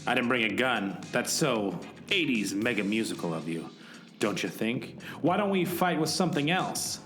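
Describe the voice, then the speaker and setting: A man's voice: medium pitch, sing-song, moderate pace, a bit bright. A man playing a cool, challenging hero in a comic radio play, with a wry delivery. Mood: wry defiance. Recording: roomy echo, faint music.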